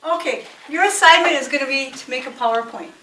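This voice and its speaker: A woman plays a classroom teacher who leads the lesson by asking pointed questions. Her voice sits high and sounds roomy and distant, as if caught from across the classroom. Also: fast talker, a little hiss or hum. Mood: calm. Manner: questioning, gently challenging. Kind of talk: amateur student acting.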